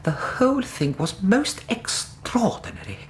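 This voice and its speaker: A man's voice: high voice, huge pitch swings, a little slow, a little hiss or hum, heavily acted. An actor playing an earnest, fussy middle-aged Greek interpreter, telling his story formally and precisely in a radio drama. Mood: bemused, recounting. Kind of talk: radio drama narration and dialogue.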